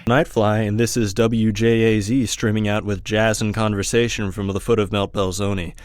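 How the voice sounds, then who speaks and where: A man playing a smooth-talking, florid, wistful late-night jazz DJ in an amateur radio drama. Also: on the low side, flat delivery, normal speed, no echo, clean studio sound, lightly acted. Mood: unruffled, suave.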